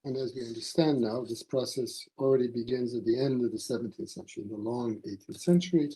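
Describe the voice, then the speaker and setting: Male academic lecturer, mid-pitched and very mellow, formal read-aloud delivery in deliberate short phrases. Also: poor audio. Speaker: academic presenting a conference paper. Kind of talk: formal scholarly lecture read from a prepared text.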